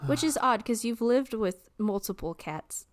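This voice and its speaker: A woman's voice: on the high side, animated, a little slow, no room sound. A woman chatting casually as a co-host on a podcast. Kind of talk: casual chat.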